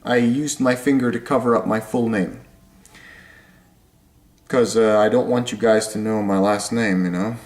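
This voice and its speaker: A young man from Indonesia, explaining things step by step in a casual vlog, talking slowly and often repeating or correcting himself. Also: lowish voice, a little mellow. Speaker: vlogger. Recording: echoey room.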